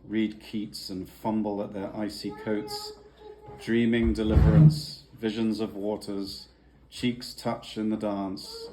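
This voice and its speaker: A man's voice: lowish voice, warm tone, slight background noise, low-quality recording. A man introducing and reading poems aloud at a family gathering. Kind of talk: slow poetry reading.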